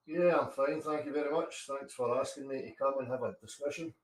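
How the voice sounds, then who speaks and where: A reserved man, interviewed as a guest over a live call, speaks softly and hesitantly with many restarts. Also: medium pitch, brisk. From Scotland. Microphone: remote call mic. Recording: distant echoey mic on a live call.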